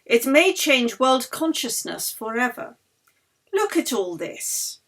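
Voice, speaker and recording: An earnest, fervent baron as a woman narrator plays him in an audiobook, pleading his cause with grand conviction. The voice sits fairly high and has a husky edge. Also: sing-song, slow pace, a bit bright, exaggerated voice. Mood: solemn, visionary. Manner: impassioned, grandiose, persuasive. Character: earnest, fervent Swedish baron devoted to spiritualism. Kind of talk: audiobook character dialogue.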